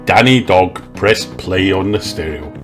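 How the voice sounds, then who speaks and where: Man, children's storybook narrator, slow, lively pitch, background music. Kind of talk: children's book read aloud.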